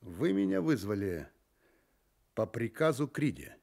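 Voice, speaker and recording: An actor playing a cool-headed, ironic private detective in a Russian radio drama, casual and quietly defiant. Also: male voice, medium pitch, huge pitch swings, a little slow, vocal fry, heavily acted. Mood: coolly provoking.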